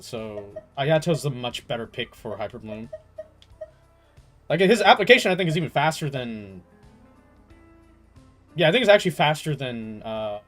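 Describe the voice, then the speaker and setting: A young man chatting casually on a game livestream in an animated, slangy way, swearing freely. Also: on the high side. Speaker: game streamer.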